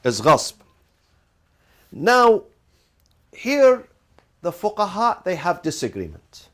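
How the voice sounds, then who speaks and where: A man with a fairly high voice gives a classroom lecture. He keeps asking "what?" and then answers himself, repeating phrases to drive the point home and dropping in Arabic legal terms as he goes. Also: wildly swooping pitch, slow, fairly bright tone. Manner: asks rhetorical questions and answers them, repeats phrases for emphasis. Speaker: teacher.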